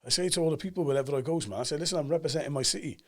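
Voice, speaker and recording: A man in his forties with a Cardiff Welsh accent, talking as a guest on a podcast and telling stories with emphatic energy. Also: fairly high pitch. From Cardiff, Wales. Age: 40s. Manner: animated storyteller, fast and emphatic, acts out quoted voices. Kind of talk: casual podcast chat.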